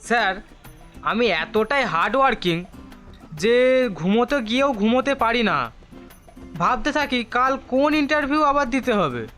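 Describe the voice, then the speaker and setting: Comic audio drama role: male actor as luckless cocky job hunter, high bright voice, glib and theatrical. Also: expressive, measured pace, long pauses, faint music behind, exaggerated voice. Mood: eager, cocky. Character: hapless, wisecracking male job seeker, overconfident, perpetually unemployed. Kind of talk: comedy audio drama acting.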